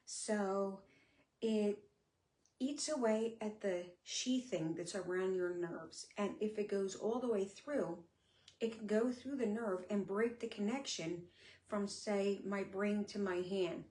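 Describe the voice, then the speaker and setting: A middle-aged woman talking candidly on a live stream, her speech sometimes a little slurred, with many pauses and fillers. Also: mid-range voice, darkish tone. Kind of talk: casual live-stream talk. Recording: echoey room.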